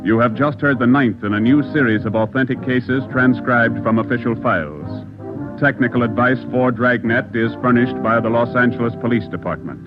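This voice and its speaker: A man announcing formally in measured 1940s radio style. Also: medium pitch. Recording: muffled 1940s radio, loud music.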